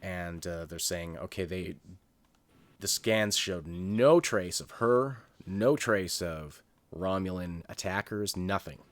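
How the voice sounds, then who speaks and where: Low-voiced man chatting through a TV review. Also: takes it slowly, no echo. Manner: hesitant, rambling, thinking aloud. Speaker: co-host of a review show. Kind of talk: casual unscripted TV review chat.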